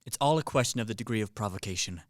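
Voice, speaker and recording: A man's voice: fairly low pitch, lively intonation, racing, no room sound, clean studio sound, close to an everyday voice. A man acting a dryly polite, pragmatic career soldier in a radio play, matter-of-fact and placating. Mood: reasonable.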